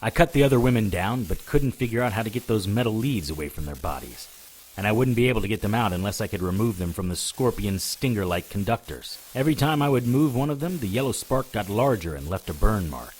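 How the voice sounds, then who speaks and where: A man's voice: fairly low pitch, lively intonation, quick pace, a little mellow, slight background noise, lightly acted, poor audio. A man playing a determined, hard-boiled witch hunter, narrating an audio drama in the first person. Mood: tense, narrating. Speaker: lead character and narrator.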